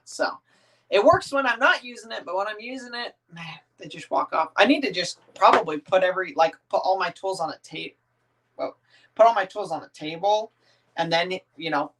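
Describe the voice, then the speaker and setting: A man's voice: sits high, gravelly, fairly bright tone. Easygoing rambling man chatting with viewers. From northern United States. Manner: rambling, easygoing, many "um"s and restarts; reads viewer comments aloud and answers them. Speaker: family vlogger answering viewer comments. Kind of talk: casual livestream chat.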